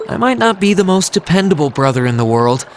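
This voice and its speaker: An actor voicing an earnest, self-doubting teenage student in a video game, speaking sincerely and reflectively. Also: male voice, high-pitched, sing-song, quick pace, slight background noise. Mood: grateful, warm. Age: young adult. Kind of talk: video game cutscene voice acting. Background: trickling water ambience.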